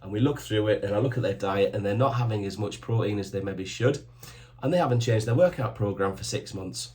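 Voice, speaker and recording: A fifty-something man talks bodybuilding supplements straight to camera, firing off short, emphatic commands. His voice sits a little low, and he stays plain-spoken and keen throughout. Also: a little fast, darkish tone. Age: 50s. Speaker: supplement shop owner giving fitness advice. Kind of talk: direct advice to camera.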